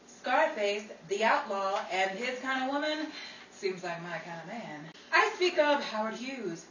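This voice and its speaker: A woman's voice: fairly high pitch, fairly bright tone. A woman hosting and narrating a 1930s-style radio show with a theatrical, playful delivery, recorded roomy and distant. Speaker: host and narrator of a radio play. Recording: roomy, distant mic, noisy crowd.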